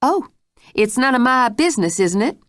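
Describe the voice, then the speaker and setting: A woman's voice: medium pitch, big pitch swings, moderate pace, dry recording, clean studio sound, voice pushed up, close to an everyday voice. A woman voicing a stern, determined housekeeper who scolds and threatens. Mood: scolding. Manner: scolding, forceful.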